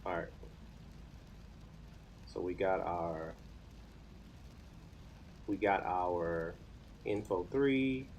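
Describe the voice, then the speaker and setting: A man's voice: low voice, lively intonation, drawn-out words, long pauses, a little mellow, slight background noise. An American man in his thirties chatting casually on a live stream, informally thinking aloud as he works. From United States. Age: 30s. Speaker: live streamer learning web development.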